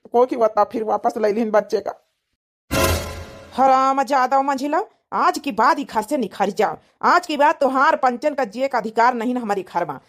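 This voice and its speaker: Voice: mid-range voice, close to an everyday voice. A performer voicing a furious, accusing village man in an Awadhi cartoon comedy, scolding in a melodramatic way. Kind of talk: dramatic comedy cartoon dubbing.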